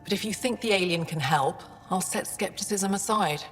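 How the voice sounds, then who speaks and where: An actress playing a wary, skeptical alien scientist in a video game, speaking in a measured, guarded way. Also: female voice, mid-range voice, lightly acted. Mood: grave, cautious. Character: wary female alien lead scientist, skeptical but practical. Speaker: lead scientist. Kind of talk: video game dramatic acting. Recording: long reverberant hall, faint music behind.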